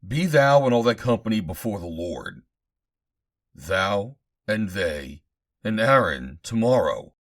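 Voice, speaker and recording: Male reader as stern scriptural prophet: low register, commanding, indignant, emphatic, sweeping intonation. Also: sing-song, slow pace, full character voice. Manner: declamatory, emphatic.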